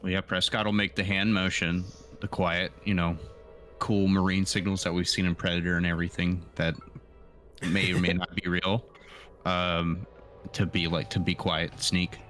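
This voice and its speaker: A man's voice: deep voice, flat delivery, moderate pace, fairly bright tone, soft background music. A man playing a tabletop roleplaying game, chatting informally and thinking aloud. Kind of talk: casual game chat.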